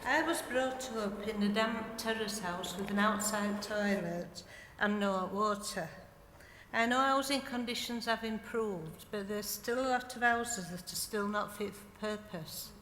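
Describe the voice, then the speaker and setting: A woman's voice: medium pitch, a little slow, fairly bright tone. A woman in the audience asking the panel a plain, direct question. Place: conference hall, from the floor. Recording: echoey hall, faint background noise.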